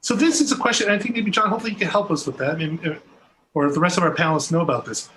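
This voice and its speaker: A man's voice: high voice, very fast, a bit bright. A middle-aged man from Southern California with a Californian American accent, casually moderating a panel on a video call. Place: home room, video call. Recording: noisy video call room sound.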